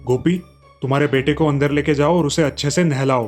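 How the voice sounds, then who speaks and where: A man voicing a kindly village grandfather with a gentle, reassuring manner in an animated fairy tale. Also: on the high side, brisk, soft background music, lightly acted. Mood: kind, soothing.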